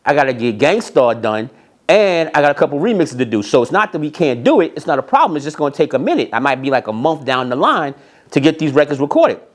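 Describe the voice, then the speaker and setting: A man telling anecdotes in an animated, emphatic way, repeating phrases and acting out bits of dialogue. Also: a little high, fast talker. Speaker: storyteller, music industry executive.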